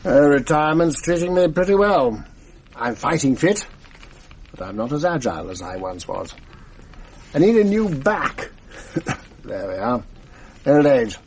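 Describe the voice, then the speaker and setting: An actor playing a genial, chatty retired schoolmaster, older, in a 1980s radio drama. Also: male voice, a little high, big pitch swings, measured pace, pauses often, a little mellow, a little hiss or hum. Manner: genial, chatty, old-fashioned.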